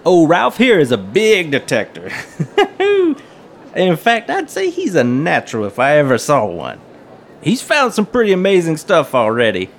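A man's voice: on the high side, huge pitch swings, measured pace, slight background noise, full character voice. An actor playing an eager, folksy small-town hobbyist man in an audio drama, chatty and full of slang. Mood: jovial, chatty.

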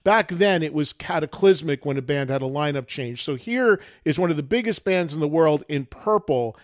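A man's voice: on the high side, expressive, normal speed, no echo. A man hosting a podcast, asking eager, probing interview questions into a close mic. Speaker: podcast host.

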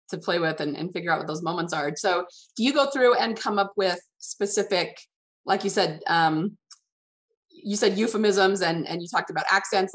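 Encouraging woman interviewer, slightly low voice. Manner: encouraging, affirming. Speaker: podcast host, interviewer. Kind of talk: conversational interview.

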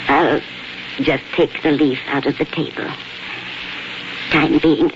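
A woman's voice: low voice, steady tone, slow pace, noisy background. An actress playing a fretful, doting middle-aged small-town mother in 1940s radio drama, eager and folksy. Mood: wistful, brave. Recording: dull 1940s radio, music behind.

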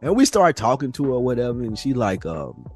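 A man's voice: medium pitch, big pitch swings, fairly quick, soft background music. A man hosting a podcast in casual, emphatic conversation, telling stories with frequent swearing. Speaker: podcast host. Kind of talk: casual chat.

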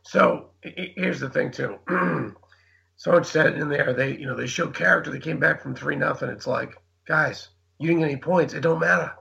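Man, losing his voice, exasperated sarcastic rant, casual sports talk, gravelly, tense. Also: a little high, moderate pace, pushed and bright. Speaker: podcast co-host.

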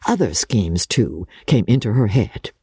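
Low, husky, mellow woman narrator. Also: no room sound, studio quality. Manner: measured, expressive storytelling. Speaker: audiobook narrator. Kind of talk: dramatic literary narration.

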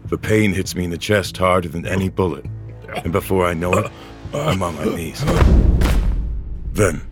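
An actor playing a tormented, brooding man with a gravelly voice in an audio drama. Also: deep voice, normal speed, close to an everyday voice. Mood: grim, fading. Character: tormented man who remade his own body, brooding narrator-hero. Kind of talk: audio drama acting and first-person narration. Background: music.

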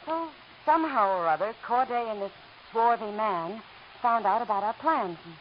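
A woman's voice: on the high side, animated, normal speed, natural voice. An actress playing a nervous, hesitant young woman who stammers, in 1940s radio acting. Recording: hissy dull old radio broadcast.

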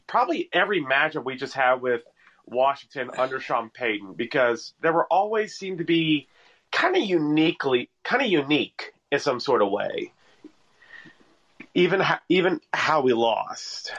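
A man's voice: a little high, expressive, moderate pace, fairly bright tone, muffled recording. A man talking casually as a fan guest on a sports call-in show.

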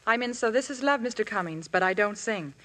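A woman's voice: mid-range voice, expressive, brisk, a bit bright. A young woman, an actress guest, chatting politely and earnestly in a scripted exchange. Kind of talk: scripted intermission chat.

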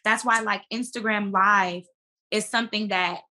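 Bright-voiced woman, emphatic podcast guest. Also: medium pitch, fairly even intonation, moderate pace. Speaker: guest, stage and screen actress. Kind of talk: casual podcast conversation.

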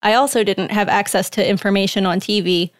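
A woman reading a guest's typed interview answers aloud in a measured, plain way. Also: mid-range voice, fairly even intonation, no echo, studio quality.

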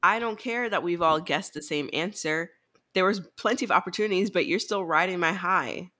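A woman's voice: lowish voice, normal speed, bright, forceful tone. Female co-host for a casual book podcast. Opinionated, very informal, unafraid to swear. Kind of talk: casual chat about books.

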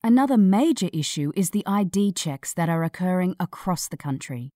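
A woman narrating a scripted news article in a measured, serious manner. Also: fairly low pitch, expressive, warm tone, dry recording, studio quality.

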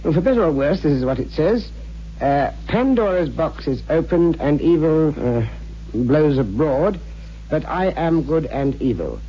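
An actor playing a genial, hesitant amateur scholar in a 1960s radio drama, with a musing, donnish manner. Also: male voice, a little high, lively intonation, a little slow, slight background noise. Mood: solemn reading. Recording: muffled, humming old radio recording.

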